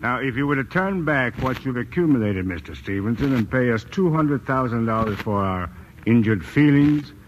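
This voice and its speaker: An actor playing a mock-polite, calmly threatening crime boss in a 1940s-style radio drama. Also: male voice, mid-range voice, moderate pace, muffled recording, close to an everyday voice. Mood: cool menace. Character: smooth, menacing male crime syndicate boss. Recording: old radio recording, faint noise.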